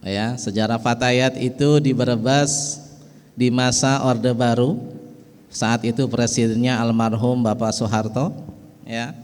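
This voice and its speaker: A man's voice: mid-range voice, fairly even intonation, slow pace, darkish tone, clean tone, in a large hall. A middle-aged man with a Betawi and West Javanese accent gives a folksy speech at a community ceremony, often tossing in "ya" asides. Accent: Betawi and West Java Indonesian. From West Java, Indonesia. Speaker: speaker at an organization event. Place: large hall.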